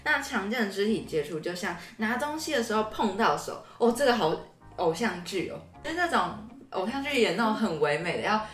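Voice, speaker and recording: A woman's voice: medium pitch, big pitch swings, a little fast, a bit bright. Young taiwanese woman co-hosting a chatty podcast, very up-and-down intonation, echoey room. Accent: Taiwanese Mandarin. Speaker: podcast co-host. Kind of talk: casual podcast chat. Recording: roomy sound with music behind.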